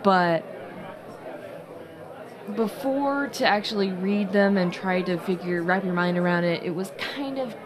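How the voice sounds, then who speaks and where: A woman with a mid-pitched voice gives her opinion in relaxed podcast conversation. She hesitates and rewords as she goes. A crowd is clearly audible behind her. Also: a little slow, long pauses. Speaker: podcast co-host. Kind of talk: casual chat, game review.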